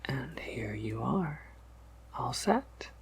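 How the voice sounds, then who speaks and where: Male performer as courteous vampire apothecary keeper, ASMR roleplay: unhurried, heavily creaky whisper, gentle, formal, quietly amused. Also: medium pitch, sing-song, a little hiss or hum, exaggerated voice. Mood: politely coaxing. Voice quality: breathy whisper with gravelly creak.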